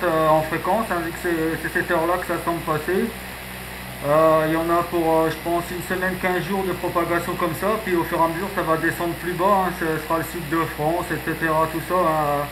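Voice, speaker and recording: Male radio hobbyist, slightly high voice, casual and rambling, noisy recording. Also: flat delivery, brisk. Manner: rambling, punctuates phrases with filler tags. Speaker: amateur radio operator. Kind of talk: casual radio contact chat.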